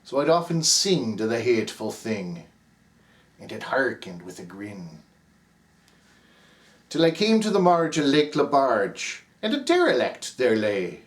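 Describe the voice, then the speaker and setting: A man's voice: medium pitch, very animated, measured pace. A man with an Irish accent reciting a ballad as the narrator Cap, in a rhythmic, theatrical delivery. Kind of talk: dramatic poem recitation.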